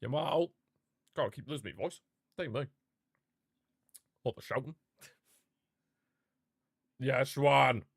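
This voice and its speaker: A man's voice: medium pitch, slow, creaky, pauses often, slightly rough, no room sound, clean studio sound. A man reacting live to a football match as a streamer, ranting and calling out to his chat. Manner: passionate, frustrated fan outbursts and rants; talks to live chat viewers.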